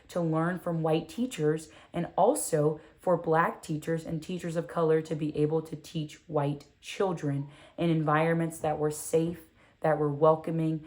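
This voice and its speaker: Measured, earnest American woman, slightly low voice. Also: mellow. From Louisiana, United States. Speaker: read-aloud presenter. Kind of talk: earnest spoken reflection on a children's book.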